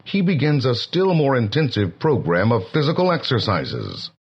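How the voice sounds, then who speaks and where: A man's voice: mid-range voice, wildly swooping pitch, normal speed, slightly rough. A male narrator telling a comic story in a declamatory, dramatic way. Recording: dull lo-fi vintage record.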